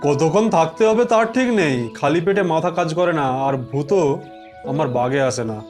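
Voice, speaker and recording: A man's voice: fairly high pitch, lively intonation, moderate pace, a little mellow, natural voice. A man voicing a wry, confident, bossy ghost-hunting investigator in an audio suspense story over music. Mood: dry, instructing. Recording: music bed behind the voice.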